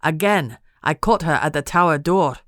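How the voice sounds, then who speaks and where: A man's voice: a little high, normal speed, a bit bright, no room sound, studio quality, close to an everyday voice. A woman voicing an indignant, threatening male servant who barks his words. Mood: barking. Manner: barking, brusque.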